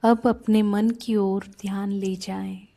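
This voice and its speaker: Very slow, mellow woman guiding sleep meditation. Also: medium pitch, little pitch movement, vocal fry. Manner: soothing, unhurried, pausing between short phrases. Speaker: meditation guide. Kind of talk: guided sleep meditation narration.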